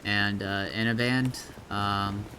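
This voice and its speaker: A man streaming a game as an admin, chatting casually in a halting, explanatory way with some swearing. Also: fairly low pitch, steady tone, bright, forceful tone, slight background noise.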